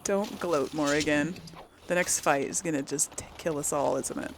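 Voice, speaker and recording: A woman's voice: low voice, normal speed, background noise. A woman hosting a let's play, chatting casually to herself and her viewers as she comments on the game.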